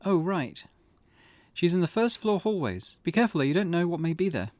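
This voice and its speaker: A man voicing an anxious, earnest teenage schoolgirl with a hesitant, halting way of talking, for a visual novel game. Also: fairly high pitch, sing-song, dry recording. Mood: nervous.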